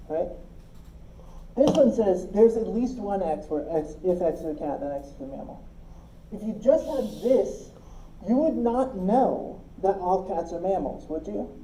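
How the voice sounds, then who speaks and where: A woman's voice: medium pitch, dark tone, reverberant, slight background noise, poor audio. A woman teaching a logic class, explaining step by step and often checking that her students follow. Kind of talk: classroom lecture.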